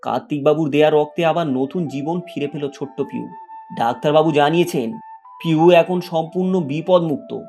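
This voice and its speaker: A man with a slightly high voice narrates a dramatic moral tale from a script. Soft music plays underneath his storytelling. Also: moderate pace.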